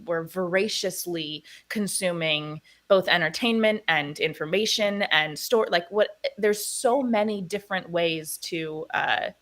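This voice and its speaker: Chatty woman, somewhat low voice. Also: unhurried, few pauses, fairly bright tone. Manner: chatty, meandering, laughs often. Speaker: guest, actor and producer. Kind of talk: casual interview chat.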